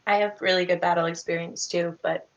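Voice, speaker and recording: A woman role-playing casually in an online tabletop game, talking in a hesitant, halting way. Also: fairly low pitch, level tone, rough recording. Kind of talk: casual in-character role-play over online chat. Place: indoor room on a group video call.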